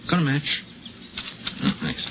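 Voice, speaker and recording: An actor playing an amiable, kindly reverend in a 1950s radio drama, chatty and folksy with an old friend. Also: male voice, a little slow, close to an everyday voice. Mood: concerned, kindly. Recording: very noisy, muffled old radio recording.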